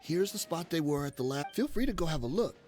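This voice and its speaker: A man's voice: a little high, lively intonation, fast, darkish tone, soft background music, close to an everyday voice. A man playing a shifty, cowardly henchman in a video game, pleading and bargaining in a placating way. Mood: grudging. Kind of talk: animated video game voice acting.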